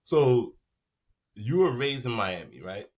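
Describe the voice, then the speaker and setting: A man's voice: medium pitch, big pitch swings, takes it slowly, noisy background. A man hosting a casual interview, speaking in slang and chiming in with agreement, on slightly muffled audio. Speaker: interviewer, host.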